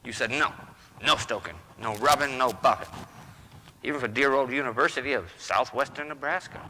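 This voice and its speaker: Man playing a bright, blustering old-time radio husband. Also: medium pitch, fairly quick. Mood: jokey. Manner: folksy, ungrammatical, boastful. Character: blustering, stubborn, wisecracking husband, folksy pack rat. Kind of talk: 1940s radio comedy acting. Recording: roomy hall reverb, noisy background.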